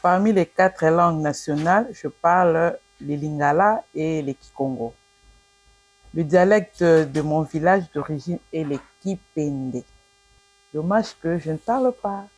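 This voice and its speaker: A woman's voice: low voice, measured pace. A woman from DR Congo with a Congolese French accent giving short interview answers.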